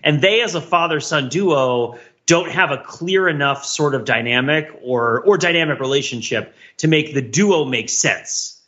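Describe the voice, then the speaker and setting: Male podcast co-host, slightly high and bright, casual but mock-earnest, long rambling analysis, slightly muffled recording. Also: normal speed, few pauses. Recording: slightly dull, lo-fi audio.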